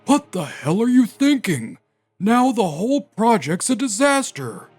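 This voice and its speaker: Man acting furious, high-pitched company president. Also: very animated, a little slow, a little mellow, breathy edge, soft background music, heavily acted. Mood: angry, scolding. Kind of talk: manga dub dialogue.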